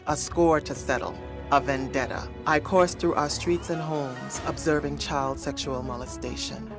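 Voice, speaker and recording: A low-pitched female spirit narrates a radio drama with vengeful, brooding weight. She speaks deliberately and ominously, with clear music underneath.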